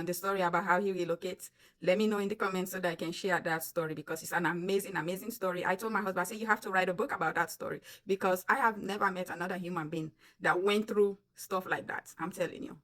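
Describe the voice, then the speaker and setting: A woman's voice: on the low side, fairly bright tone. A woman from Sierra Leone with a Sierra Leonean accent, chatting to her viewers as a vlogger, emphatic and personal, often calling them guys. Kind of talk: casual storytelling to camera.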